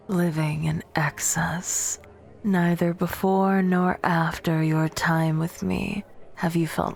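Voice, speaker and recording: A woman playing a proud, bitter vampire warrior in an ASMR audio roleplay, speaking in a breathy, often whispered voice with haughty, cutting formality. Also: fairly low pitch, darkish tone.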